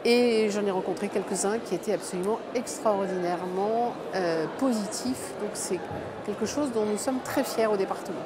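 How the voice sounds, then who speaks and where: A woman's voice: mid-range voice, moderate pace. A woman giving interview remarks as a local government representative. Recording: clearly noisy event ambience. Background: ambient event noise.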